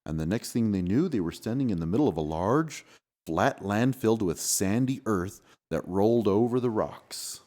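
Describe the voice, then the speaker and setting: A man reading a children's story aloud in an expressive, dramatic manner, slowing down for emphasis. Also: fairly low pitch. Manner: animated, dramatic, slows for emphasis. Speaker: storybook reader, dad.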